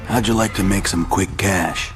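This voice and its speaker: A man plays a sleazy, wheedling club hustler in a game cutscene. His low voice delivers slick, crude patter over loud music. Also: normal speed, natural voice. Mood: sly, persuasive. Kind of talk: video game cutscene acting.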